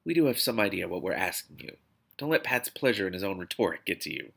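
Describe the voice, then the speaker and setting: A man reading as a creaky, earnest veteran agent. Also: low voice, very animated, fast, fairly bright tone, voice pushed down, full character voice. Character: older, grizzled male veteran agent, earnest and reassuring.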